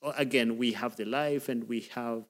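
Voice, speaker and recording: A man's voice: mid-range voice, steady tone. Kenyan man hosting a podcast. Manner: halting, repeats words. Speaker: podcast host. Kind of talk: casual podcast interview.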